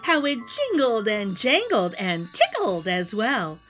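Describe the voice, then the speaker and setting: A middle-aged woman hosting a children's read-aloud, telling a rhyming storybook in an animated, sing-song way over music. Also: a little high, slow. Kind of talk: expressive rhyming storybook narration. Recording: music behind the voice.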